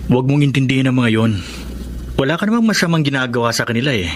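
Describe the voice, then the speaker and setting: An actor playing a middle-aged fellow teacher who gently and persistently courts a widow. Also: male voice, medium pitch, lively intonation, fast talker, slight background noise, natural voice. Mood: reassuring. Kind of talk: Tagalog radio-style drama acting.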